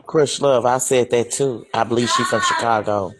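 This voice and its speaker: Halting man joining a live chat. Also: medium pitch, fairly even intonation, noisy background. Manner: halting, hesitant. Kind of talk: casual chat.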